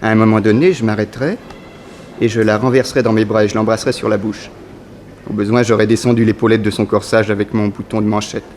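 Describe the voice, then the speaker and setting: An actor playing a cynical young rich playboy in an early sixties radio drama, glib and casually callous. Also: male voice, on the low side, flat delivery, fast talker, a little mellow, slight background noise, lightly acted. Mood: smug scheming.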